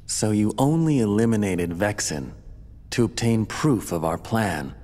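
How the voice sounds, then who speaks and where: An actor playing a cold, scheming villain lord in a video game, haughty and formal. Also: male voice, lowish voice, lively intonation, a little mellow. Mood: cold, accusing. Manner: haughty, formal, measured menace. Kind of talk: video game cutscene acting. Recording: long reverb, music behind.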